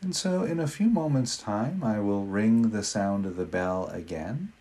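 Slow, low-voiced man guiding meditation. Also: expressive. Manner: gentle, unhurried, with long pauses. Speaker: meditation guide. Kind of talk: guided meditation.

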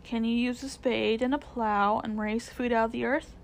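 Solemn kingly lion from a storybook woman doing the voice, grave and formal, measured lines. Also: a little high, steady tone, vocal fry, a little hiss or hum. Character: wise regal male lion king-maker, solemn.

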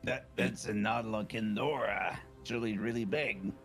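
A man's voice: fairly low pitch, fairly even intonation, moderate pace, creaky, a bit bright, soft background music. A man chatting playfully as a player in a tabletop game. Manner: playful, joking. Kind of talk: casual table talk as a player.

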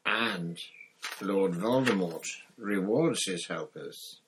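A cold, commanding dark wizard from a fantasy audiobook, acted out by a male reader. He speaks very slowly and deliberately in a low voice, softly mocking and full of menace. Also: background noise. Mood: cold, menacing. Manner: soft-spoken, deliberate, menacing.

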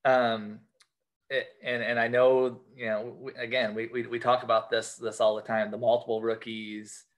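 An American man chatting casually as a podcast guest, talking haltingly and often repeating and rephrasing his phrases. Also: mid-range voice, flat delivery. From United States. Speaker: podcast guest, sports card collector. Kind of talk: casual interview chat.

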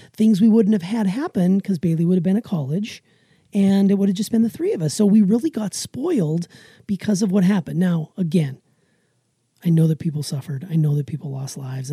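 Dark, raspy middle-aged woman. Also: lowish voice, dry recording, studio quality. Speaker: podcast co-host. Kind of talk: casual chat with emphatic opinion.

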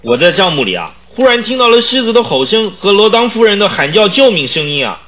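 An actor playing an excitable circus troupe witness testifying in a radio drama, with drawn-out, emphatic phrase endings. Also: male voice, high-pitched. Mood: agitated, insistent. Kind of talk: Chinese radio drama acting.

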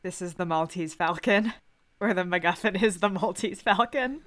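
A woman's voice: on the low side, normal speed, a bit bright. A woman chatting casually as a podcast quiz contestant, joking and playfully argumentative. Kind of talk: casual comic chat.

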